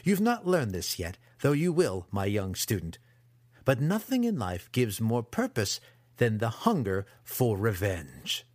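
A man voicing a desperate, proud, vengeful male dark elf student in an audiobook, indignant and excitable. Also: fairly low pitch, unhurried, dry recording, studio quality, full character voice. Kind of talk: audiobook character acting.

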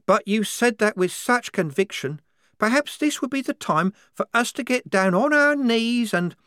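A man's voice: very high-pitched, lively intonation, moderate pace, pushed and bright, clean tone, dry recording, clean studio sound, pitched above the performer's own voice, full character voice. A man reading an audiobook, voicing a naive, devout nineteen-year-old woman soldier who speaks with fervent earnestness.

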